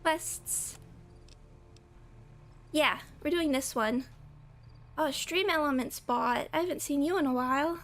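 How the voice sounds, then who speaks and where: Chatty high-voiced woman streamer, a bit scratchy. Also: slow, pauses often, a bit bright. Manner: chatty, giggly, greets viewers by name. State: slightly scratchy from a cold. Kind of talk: casual live-stream chat while gaming.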